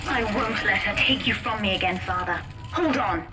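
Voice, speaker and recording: A young woman playing a ruthless but devoted teenage daughter in a dramatic video game, with deliberate, earnest, commanding delivery. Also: medium pitch, natural voice. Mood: cold resolve. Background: music.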